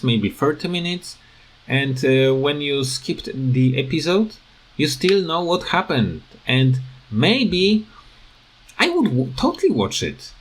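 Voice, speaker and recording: A man with a command of talks through his opinions in an informal, unscripted rant. His delivery is slow and halting, with repeated words as he searches for the next phrase. His pitch sits in an ordinary middle range for a man. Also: slightly rough. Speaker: video creator giving commentary.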